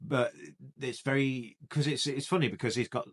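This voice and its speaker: A man's voice: medium pitch, fairly even intonation, normal speed. A man with an English Midlands accent, co-hosting a casual film chat, leading the topics and teasing his co-host. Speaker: podcast co-host.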